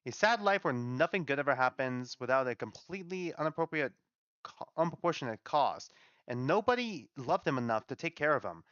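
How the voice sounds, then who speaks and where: A man's voice: a little high, big pitch swings, normal speed, bright, forceful tone, dry recording, muffled recording. A man reading a story aloud as a bitter, angry mourner with a blunt, exasperated manner. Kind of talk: dramatic read-aloud of a story.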